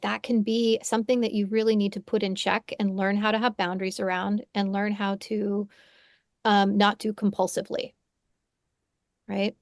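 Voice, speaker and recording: A woman's voice: mid-range voice, a little mellow, no echo, studio quality. Reflective woman talking on a video call. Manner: thoughtful, searching, frequent restarts and "right?". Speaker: podcast host and psychotherapist. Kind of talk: reflective conversational interview. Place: home room. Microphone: headphones on a video call.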